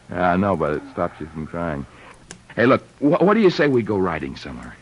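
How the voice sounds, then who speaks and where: A man's voice: deep voice, big pitch swings, normal speed, husky, dull audio, full character voice. An actor playing a breezy, wisecracking young male author in 1940s radio drama style, joking and teasing.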